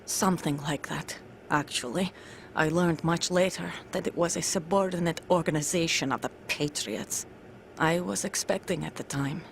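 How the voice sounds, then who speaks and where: A woman's voice: low voice, normal speed, vocal fry, slight background noise. A woman playing a grave, guarded undercover soldier in a video game, speaking in a serious, controlled, confiding way. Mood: sorrowful confession. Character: guarded female undercover soldier, grave mother of a stolen child. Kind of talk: dramatic video game cutscene acting.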